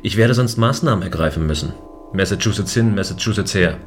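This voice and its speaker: An actor playing a stern, distrustful guardian of a remote space station, speaking formally in a science fiction audio drama. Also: male voice, deep voice, animated, a little fast, soft background music. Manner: formal, warning.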